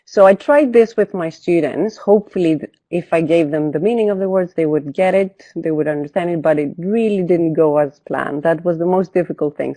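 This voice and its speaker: A woman's voice: low voice, darkish tone, dry recording. A woman from Greece, a teacher, thoughtfully explaining her classroom experience step by step on a webinar video call. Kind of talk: webinar panel answer.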